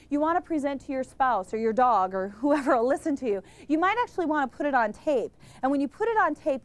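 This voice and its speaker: A woman giving an energetic lesson on public speaking to a live group, emphatic and quizzing the room. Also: high-pitched. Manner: energetic, emphatic, asks questions of the audience. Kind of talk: instructional talk to a live group.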